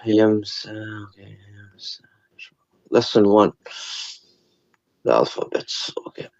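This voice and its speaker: Student on a dull, muffled call line. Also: male voice, on the low side, flat delivery, slow pace, creaky, no room sound. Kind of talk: online lesson, reciting and chatting. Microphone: video call.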